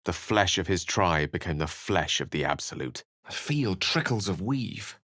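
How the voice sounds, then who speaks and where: Low-voiced eloquent wizard. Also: male voice, very animated, moderate pace, sharp tone, dry recording, clean studio sound, exaggerated voice. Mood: thoughtful, eloquent. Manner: articulate, wordy, literary phrasing. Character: eloquent, theatrical male human wizard companion, erudite and wry. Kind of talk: fantasy video game voice acting.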